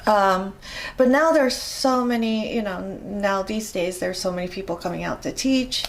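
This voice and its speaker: A woman's voice: medium pitch, normal speed, poor audio. A middle-aged woman from Japan with a Japanese accent, speaking hesitantly with many fillers as a guest in a casual interview. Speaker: interview guest, rope bondage practitioner.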